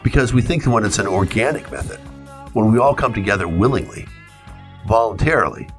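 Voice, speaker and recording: Male radio host, very low voice, opinionated talk, emphatic repetition, music behind. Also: animated, a little fast.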